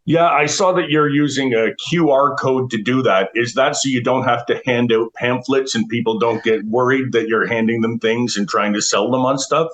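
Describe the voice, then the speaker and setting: A man interviewing student volunteers, asking measured, friendly questions. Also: mid-range voice, steady tone, fairly bright tone, low-quality recording.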